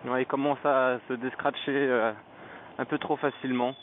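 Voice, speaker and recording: A man's voice: medium pitch, level tone, moderate pace. Man on tinny, noisy helmet mic. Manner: hesitant, searching for words. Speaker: vlogger reviewing gear. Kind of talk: casual unscripted product review. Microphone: Bluetooth motorcycle helmet headset microphone. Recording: tinny narrow-band helmet intercom mic, background noise.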